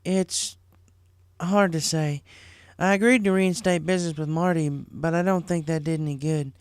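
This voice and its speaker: A man voicing a reassuring adult mare pony, a farmer and big sister in an audiobook story, speaking gently but firmly. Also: a little high, steady tone, moderate pace, smooth, no echo, studio quality, voice pushed up, natural voice. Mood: earnest.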